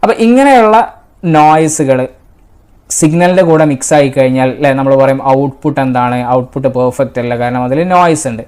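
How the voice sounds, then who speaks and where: Low-voiced Malayali woman teaching. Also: fairly quick, darkish tone, clean tone. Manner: didactic, asks and answers own questions, repeats key points. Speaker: engineering instructor. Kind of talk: explanatory exam-prep lecture.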